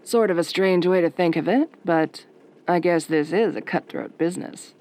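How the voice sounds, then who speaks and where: Casting: woman to play sultry night-radio host; gentle, somewhat low, dry teasing wit. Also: moderate pace, close to an everyday voice. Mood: drily patient. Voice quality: soft, velvety. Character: velvety, wry, flirtatious female late-night radio DJ.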